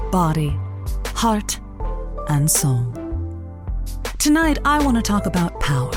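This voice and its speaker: Woman acting sassy, flirtatious radio hostess, animated delivery. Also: medium pitch, natural voice. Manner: arch, teasing wordplay. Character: sassy, flirtatious woman, late-night sex-advice radio host. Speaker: radio show host. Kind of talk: scripted audio comedy drama.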